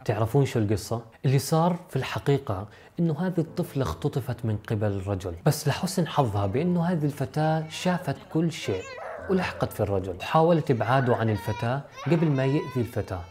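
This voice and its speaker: Fast-talking young man, mellow voice. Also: mid-range voice, lively intonation, slight background noise. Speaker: video host. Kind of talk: dramatic storytelling to camera. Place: furnished indoor room. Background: faint background music.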